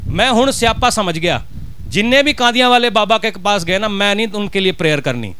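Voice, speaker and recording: A man's voice: high-pitched, animated, fairly quick, sharp tone, slight background noise. A middle-aged man with a Punjabi accent, a pastor preaching a sermon from a church stage with a handheld mic, driving his points home with rhetorical questions. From Punjab, India.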